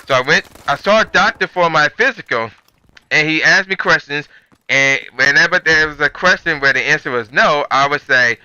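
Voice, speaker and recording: A man's voice: a little high, moderate pace, pushed and bright, smooth, no echo, highs cut off. A man telling a story as a channel host, in a rambling, conversational, slangy way. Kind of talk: casual storytelling commentary.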